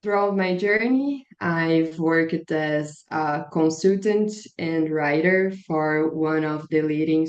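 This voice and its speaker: A woman in her twenties from Brazil with a Brazilian Portuguese accent, speaking English as a second language, answering interview questions in a measured, earnest way with a slightly strained voice. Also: low-pitched, darkish tone. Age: 20s. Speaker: guest, biologist and climate activist. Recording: echoey room, dull lo-fi sound.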